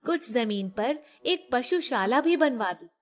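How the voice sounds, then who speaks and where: A woman storyteller with a very high voice. She narrates a scripted tale in clear sequence, as a storyteller would. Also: animated, slow pace.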